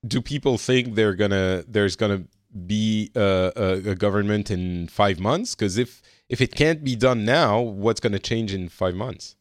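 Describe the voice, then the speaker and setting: A man hosting a casual podcast, steering the talk with quick questions. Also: on the low side, no echo, studio quality. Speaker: podcast host. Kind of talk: casual current-affairs chat.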